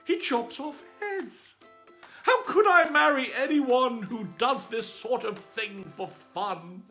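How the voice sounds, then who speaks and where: A man's voice: extremely high pitch, lively intonation, slow pace, pushed and bright, faint music behind, pitched above the performer's own voice, exaggerated voice. A man voicing a feisty, wary young woman in a theatrical storybook reading. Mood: dismayed.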